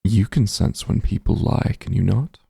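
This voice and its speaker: A man playing a powerful, calm and smug demon lord in a fantasy ASMR roleplay, unhurried and condescending, with a gravelly, husky low voice. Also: dry recording, studio quality, exaggerated voice. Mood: coaxing, persuasive. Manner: unhurried, condescending, softly commanding.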